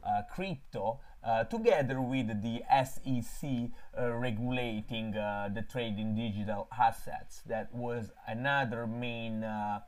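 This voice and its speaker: Man, Italian accent, live-stream host, halting delivery with many fillers, mid-pitched voice. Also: darkish tone. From Italy. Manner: halting, frequent filler pauses. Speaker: crypto trading channel host. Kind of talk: casual live-stream market talk.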